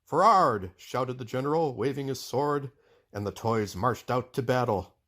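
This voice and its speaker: A man narrating a children's book aloud in an expressive storytelling style. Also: medium pitch, normal speed.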